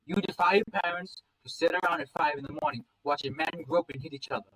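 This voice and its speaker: A man's voice: fairly high pitch, fast, fairly bright tone, pitched above the performer's own voice, low-quality recording. A stern, disapproving animal father in a story, acted by a man. He scolds and accuses in a domineering, hateful manner. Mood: accusing.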